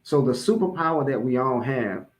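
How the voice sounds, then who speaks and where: A man's voice: mid-range voice, lively intonation, normal speed, noisy background. An American man giving an informal motivational sermon, repeating key phrases for emphasis and checking in with his listeners conversationally. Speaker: religious speaker giving a public address.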